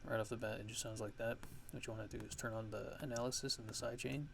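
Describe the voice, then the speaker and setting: A man giving a casual software tutorial, haltingly thinking aloud as he goes. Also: mid-range voice, fairly even intonation. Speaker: music production tutorial host. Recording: clear background noise.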